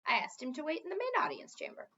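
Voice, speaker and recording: A stiffly proper male elf servant from a storybook, put on by a woman. He is formal and fussy, always deferential, with animated intonation. The sound is slightly dull. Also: high-pitched, quick pace, pitched above the performer's own voice, full character voice. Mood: prim, deferential.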